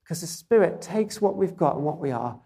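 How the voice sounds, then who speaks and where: A soft-spoken preacher delivers a sermon in a gentle, measured way, often turning to the congregation with rhetorical questions. The voice is quiet and not strong, with a mellow, warm tone. It is carried through a microphone and speaker system in a church. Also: medium pitch, animated, vocal fry. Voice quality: quiet, relatively weak voice.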